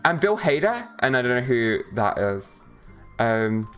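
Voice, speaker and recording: A man's voice: medium pitch, big pitch swings, a little slow, a bit bright, clear, resonant tone, faint music behind. A young man chatting on a livestream, tired after a bad night's sleep, rambling and joking with lots of filler words. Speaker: streamer. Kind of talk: casual livestream chat.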